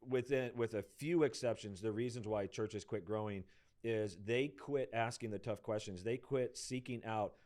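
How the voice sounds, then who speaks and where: A hoarse middle-aged man with a somewhat low voice talks through ideas in casual conversation. He halts and restarts often, feeling his way toward each point. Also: a little mellow. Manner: halting, many restarts, thinks aloud. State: losing his voice. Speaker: pastor and podcast co-host.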